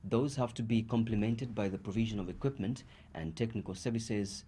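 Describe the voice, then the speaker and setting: A man's voice: lowish voice, brisk, warm tone, a little hiss or hum. A man with a Zambian accent reading a scripted news bulletin. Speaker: news reader.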